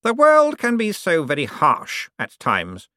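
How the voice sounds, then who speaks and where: A man voicing a vain, scheming rich young man who talks in a smooth, self-satisfied, dreamy way. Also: fairly high pitch, wildly swooping pitch, a little slow, sharp tone, no room sound, studio quality, voice pushed up, exaggerated voice.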